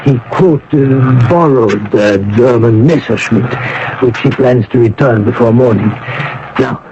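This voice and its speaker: Kindly priest, played by a man, mid-pitched, measured and formal, radio play, muffled with clear noise. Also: close to an everyday voice. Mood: gentle, concerned. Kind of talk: radio drama acting. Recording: dull, noisy old radio broadcast.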